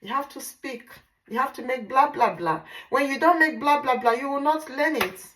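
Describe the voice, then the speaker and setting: A Nigerian woman whose first language is Igbo, speaking English with a Nigerian accent as a language teacher in a casual vlog, drilling words slowly and repeating them. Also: sits high, background noise. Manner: repetitive drilling, slow deliberate pronunciation.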